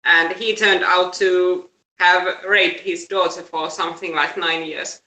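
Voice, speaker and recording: A woman's voice: lowish voice, fairly even intonation, measured pace, bright, forceful tone. A woman giving casual news commentary as a live-stream host. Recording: roomy, echoey live-stream audio.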